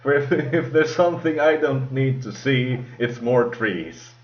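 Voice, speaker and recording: A man with a heavy Swedish accent, co-hosting a podcast in casual chat, relaxed and quick to laugh. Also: medium pitch. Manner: relaxed, laughs often, restarts sentences. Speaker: podcast co-host. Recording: echoey lo-fi mic.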